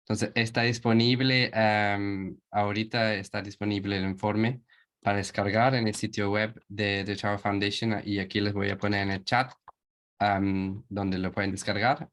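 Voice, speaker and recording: A man's voice: fairly low pitch, a bit bright. A man from England with an English accent presenting a webinar in a second language, hesitant and searching for words.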